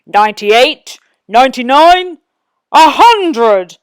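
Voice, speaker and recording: Wicked wizard villain for a children's storybook, played by a woman: loud, menacing, slow drawn-out taunts. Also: high voice, animated, smooth, no echo, voice pushed up. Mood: commanding.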